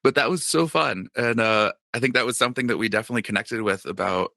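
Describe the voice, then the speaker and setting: A man's voice: medium pitch, quick pace, creaky, bright, forceful tone, dry recording, studio quality. A man co-hosting a podcast in casual chat, rambling with lots of fillers and restarts. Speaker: podcast co-host.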